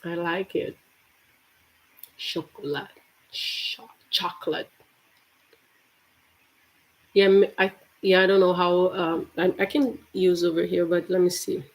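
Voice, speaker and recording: Slow, chatty woman with a Portuguese accent. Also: lowish voice, little pitch movement, poor audio. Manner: chatty, laughs often, repeats words slowly to show pronunciation. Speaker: English teacher. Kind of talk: live-streamed language lesson.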